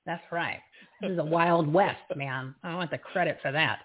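A woman's voice: low-pitched, normal speed. A woman hosting a live radio talk show, rambling as she loses her train of thought and laughing at herself. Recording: tinny phone-like line.

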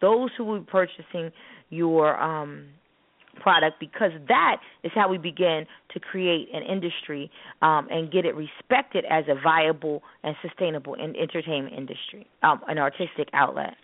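A woman's voice: low voice, moderate pace, slight background noise. A woman speaking in an emphatic, instructive way in an opinionated talk show discussion, repeating phrases for stress. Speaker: co-host of a spoken word business show; spoken word artist. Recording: muffled narrowband phone-line sound.

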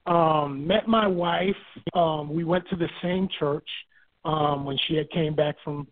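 A man from Barbados, a guest and author, telling his life story in a relaxed way, repeating words as he thinks and giving homely examples. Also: fairly high pitch, unhurried. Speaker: guest, author and marriage minister. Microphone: phone. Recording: tinny phone line.

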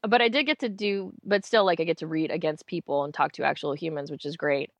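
A woman voice actor from the United States, chatting casually in an interview with quick, self-mocking asides. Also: low voice, no room sound, dull audio. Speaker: voice actor guest.

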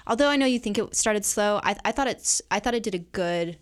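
A woman speaking as a guest co-host in a casual discussion, conversational and softening her points as she goes. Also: medium pitch, fairly quick, smooth.

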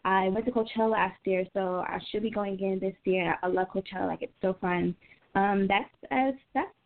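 A woman's voice: medium pitch, normal speed. A woman of twenty-one answering interview questions casually, thinking aloud in a youthful, informal way. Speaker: singer being interviewed as guest. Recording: tinny narrow phone-line sound.

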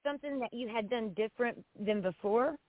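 A woman's voice: on the high side, brisk, no echo. A woman hosting a podcast, asking rambling, chatty questions full of restarts. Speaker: podcast host. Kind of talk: casual interview chat. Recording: tinny phone-line sound.

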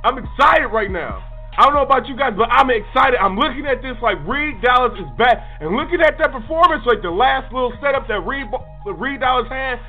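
A man with a very high voice rattles off hyped, rambling reaction commentary at a fast clip. The clip is lo-fi and phone-thin, with faint music behind. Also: pushed and bright. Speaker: video commentator. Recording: lo-fi played clip.

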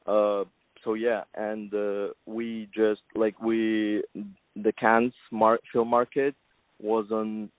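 A man from Kazakhstan talks over a thin, tinny phone line, his voice low and flat. He speaks hesitantly, with many fillers, as a film producer answers an interviewer. The line sounds dry and close. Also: slow, no room sound. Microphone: telephone.

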